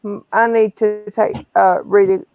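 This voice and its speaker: A woman's voice: medium pitch, flat delivery, measured pace, no room sound, highs cut off. A woman hosting a casual livestream, chatty and often restarting her phrases. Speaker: livestream host.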